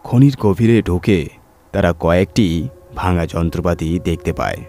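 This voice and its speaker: A man's voice: sits low, measured pace, dark tone. A man reading a scripted horror story as its narrator. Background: music.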